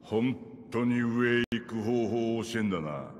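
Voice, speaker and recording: An actor playing a gruff, blunt male rebel leader in a game cutscene, talking rough and suspicious. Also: mid-range voice, animated, measured pace, slight background noise, close to an everyday voice. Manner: rough, blunt street speech. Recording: game audio with music and reverb.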